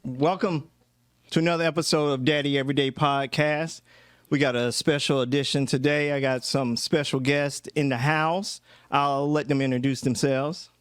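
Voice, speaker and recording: A man in his thirties hosting a casual family podcast, talking in a relaxed, reflective way with fillers and easy laughs. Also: fairly high pitch, moderate pace, smooth, no echo, studio quality. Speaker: podcast host and father. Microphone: studio microphone.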